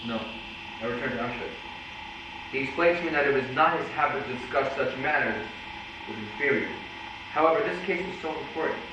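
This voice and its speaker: Heard from far off in a very echoey, noisy hall, a man acts a former Nazi commandant in his forties. He gives formal, measured testimony in a stage drama, unrepentant and matter-of-fact. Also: mid-range voice, lightly acted. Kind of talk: staged reading of a play, testimony. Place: hall, recorded from a distance. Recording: very echoey hall, far mic, loud noise.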